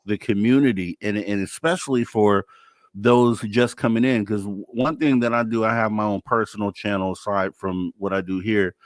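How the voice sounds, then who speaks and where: A man's voice: lowish voice, steady tone, normal speed, no echo. A man hosting a live radio talk show in a casual, informal, effusive way.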